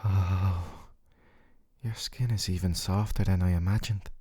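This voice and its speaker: Man acting a gentle, obsessive lover, low and mellow. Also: steady tone, measured pace, breathy edge, dry recording, clean studio sound. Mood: yearning, tender. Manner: tender, coaxing, clingy. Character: gentle, obsessive, possessive male dating-sim love interest. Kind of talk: intimate ASMR roleplay.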